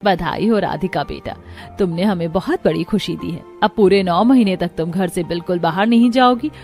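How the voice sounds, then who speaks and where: A woman's voice: on the low side, normal speed, a little mellow, soft background music, natural voice. A woman playing an imperious, protective, wealthy mother-in-law in a story, with a commanding manner. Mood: pleased, protective.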